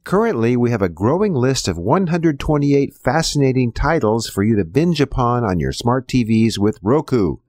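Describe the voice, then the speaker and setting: Man, show announcer, scripted promo read, mid-pitched, swinging melody, dry sound. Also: sing-song, normal speed, few pauses, darkish tone, dry recording.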